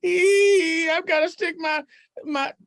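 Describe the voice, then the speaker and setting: Folktale rabbit, male, hungry and gullible; squeaky, begging, chatters nonstop, put on by a storyteller. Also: fairly even intonation, slow, no room sound, heavily acted. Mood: fretful. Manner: high squeaky pleading voice, rambling on. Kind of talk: oral folktale telling.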